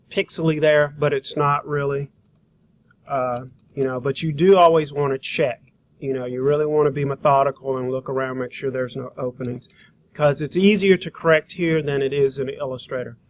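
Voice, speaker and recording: Man, somewhat higher-pitched voice, casual instructor, rambling folksy delivery, step-by-step demo. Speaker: graphic design instructor. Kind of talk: hands-on software demonstration, informal instruction. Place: school computer lab.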